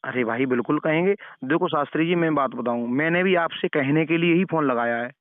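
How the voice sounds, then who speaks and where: Male preacher, fairly high voice, admonishing like an elder brother over a narrow, dry phone connection. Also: brisk, no room sound. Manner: elder-brotherly, moralizing, repeats points for emphasis. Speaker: religious preacher giving advice. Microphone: phone call. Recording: narrow tinny phone call recording.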